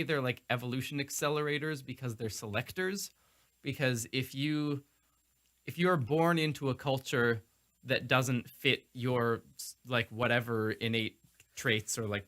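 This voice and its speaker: A man's voice: mid-range voice, a bit bright. A man hosting a live stream, asking long rambling questions with many restarts. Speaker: host. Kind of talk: casual interview on a live stream.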